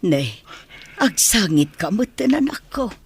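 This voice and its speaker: An actress playing a caring mother and grandmother in a village radio drama, speaking in a soft, comforting way. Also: medium pitch, big pitch swings, takes it slowly. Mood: tender.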